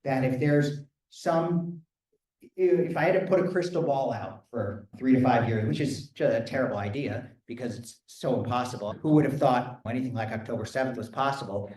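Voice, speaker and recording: A man's voice: medium pitch, moderate pace, darkish tone. A man, a rabbi, answering audience questions in a lecture and thinking aloud as he goes. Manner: thoughtful, thinking aloud with restarts. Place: large echoey hall. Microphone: microphone failing, speaking loud from a distance. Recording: echoey hall, voice from far off.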